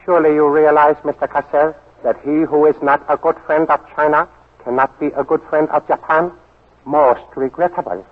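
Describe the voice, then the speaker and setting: A man's voice: fairly high pitch, steady tone, moderate pace, lightly acted. A man playing a menacing Japanese military colonel in a 1940s radio drama, precise and stiffly polite. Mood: cold, formal. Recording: muffled, worn 1940s radio recording.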